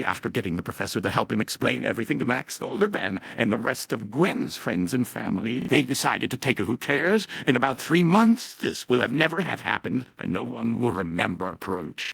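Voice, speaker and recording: Guy narrating a cartoon fan story, raspy and crackly, really dry recording. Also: medium pitch, a bit bright, dry recording. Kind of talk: scripted story narration of a fan comic dub.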